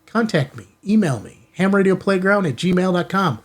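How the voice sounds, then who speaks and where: Higher-pitched American man, chatty vlog host. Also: expressive, moderate pace. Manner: informal, chatty, rhetorical questions to viewers. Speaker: ham radio channel host. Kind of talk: casual product unboxing chat to viewers. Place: indoor studio in an office building.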